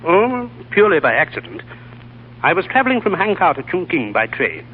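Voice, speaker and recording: An actor playing a well-spoken, genteel writer, speaking crisply. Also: male voice, sits high, big pitch swings, fairly quick, slight background noise. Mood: explaining. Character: brisk English writer, genteel, solicitous. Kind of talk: 1930s radio drama acting. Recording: narrow lo-fi old radio broadcast.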